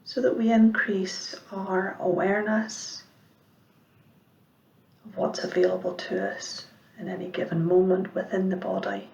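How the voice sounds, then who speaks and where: A woman giving guided meditation instruction as a mindfulness teacher, speaking in a measured, gentle way with pauses and soft, breathy phrase endings. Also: mid-range voice, flat delivery, dull audio. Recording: roomy, echoing sound.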